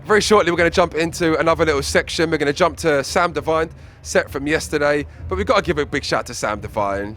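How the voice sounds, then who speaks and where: Male host, middle-aged, casual and chatty, slightly high voice, faint background noise. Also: a bit bright. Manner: chatty, reads out viewer messages. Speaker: show host. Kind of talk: casual festival show presenting.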